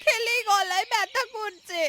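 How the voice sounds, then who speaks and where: A woman's voice: extremely high pitch, normal speed. A woman voicing a whiny, melodramatic married woman who loves food, in a fairy-tale cartoon. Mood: pained whining. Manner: whining, melodramatic complaining.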